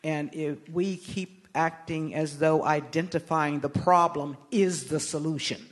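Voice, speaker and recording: An older American woman answering interview questions as a guest, speaking in a measured, emphatic, oratorical way. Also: deep voice, steady tone. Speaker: interview guest, political activist. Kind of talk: live on-stage interview answers.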